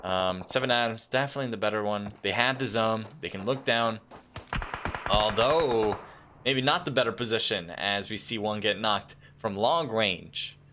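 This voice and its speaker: Excitable male esports caster over gunfire. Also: moderate pace, noisy background. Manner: excitable, pitch leaps during fights, casual fillers. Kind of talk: live play-by-play commentary. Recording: game gunfire clearly underneath. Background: game gunfire and clicks.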